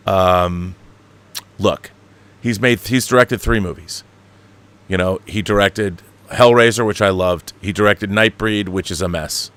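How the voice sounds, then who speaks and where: A man's voice: fairly low pitch, fairly bright tone. A middle-aged American man hosting a casual livestream talk, opinionated and emphatic, swearing freely and wandering off on tangents. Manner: emphatic, profane, digressive. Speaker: host of a film and book talk livestream. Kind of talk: casual opinionated chat, answering viewer questions.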